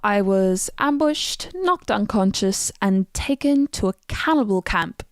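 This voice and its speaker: Actress voicing a fantasy game heroine, composed, wry, unhurried, smooth and clear. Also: female voice, medium pitch, animated, no echo, clean studio sound, natural voice. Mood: wry, recounting. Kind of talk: scripted game dialogue.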